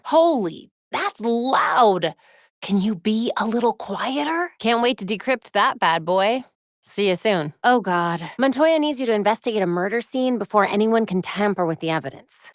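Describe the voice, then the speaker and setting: Actress as young american vigilante woman in a video game, very animated, dry wit, close dry recording. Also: mid-range voice, dry recording, lightly acted. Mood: alarmed, startled, then wry, teasing. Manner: dry, wry asides.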